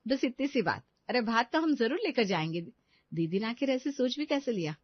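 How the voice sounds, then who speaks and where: A woman's voice: a little high, expressive, moderate pace, no room sound, lo-fi sound. A woman voicing a doting, indulgent middle-aged mother and housewife in a cartoon family story. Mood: reassuring.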